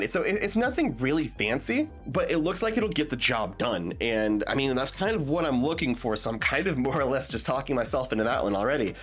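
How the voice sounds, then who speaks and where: Young male gear vlogger, slightly stuffed up, very animated pitch, loose and rambling. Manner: rambling, restarts often, asks viewers "you feel me?". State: stuffed up after being sick. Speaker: tech vlogger. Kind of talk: casual rambling product talk.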